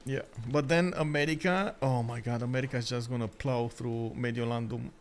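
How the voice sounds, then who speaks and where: A man from Romania with a Romanian accent, hosting a stream and commentating a strategy game in chatty, halting talk that breaks into frequent laughs. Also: mid-range voice, fairly even intonation, a little hiss or hum. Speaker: esports caster and stream host.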